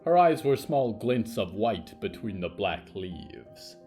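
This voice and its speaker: Slow, expressive male story narrator. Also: on the low side, soft background music. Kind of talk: scripted atmospheric story narration.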